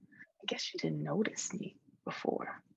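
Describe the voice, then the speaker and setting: Woman acting a husky, knowing girl companion. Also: deep voice, unhurried, no room sound, whispered, lo-fi sound, voice pushed down, heavily acted. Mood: soft, knowing.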